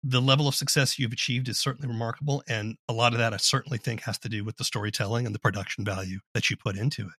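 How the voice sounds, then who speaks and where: Husky guy hosting a podcast, dry close sound, bit of music around. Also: mid-range voice, steady tone, racing, few pauses, a bit bright, no room sound. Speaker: podcast host and interviewer. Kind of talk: interview and scripted outro narration.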